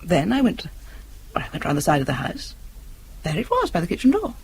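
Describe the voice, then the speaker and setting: Very animated, spirited young wife. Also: female voice, lowish voice, a little fast, gravelly, heavily acted. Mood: intrigued. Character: spirited expectant young wife, playfully self-assured. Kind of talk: radio drama acting. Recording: noisy old radio drama recording.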